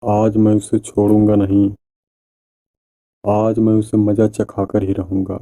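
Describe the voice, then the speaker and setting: A man's voice: fairly low pitch, completely flat delivery, slow pace, warm tone. A man voicing a greedy, mocking, scheming villager in a cartoon moral story, with a taunting, exclamatory delivery. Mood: angry, vengeful.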